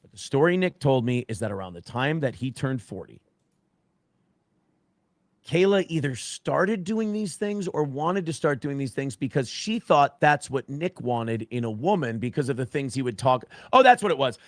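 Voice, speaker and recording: A man's voice: medium pitch, animated, normal speed, dry recording. Guy telling a story in a clip, correcting himself, dry close sound. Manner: recounting a story firsthand, repeating and correcting himself. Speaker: streamer in a played clip. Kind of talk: casual stream talk.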